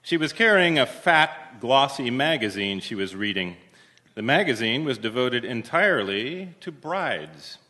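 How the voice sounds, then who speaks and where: Male stage narrator, medium pitch, highly varied intonation, performing literary prose aloud. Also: big pitch swings, unhurried, sharp tone. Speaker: theatre actor reading a story. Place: on stage before a live audience.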